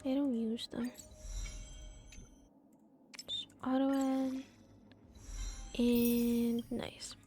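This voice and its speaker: A woman with a high voice commentates casually on her game stream. She takes her time, murmuring playfully to herself in little sound words. Faint music plays behind her. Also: little pitch movement, drawn-out words, long pauses, dark tone.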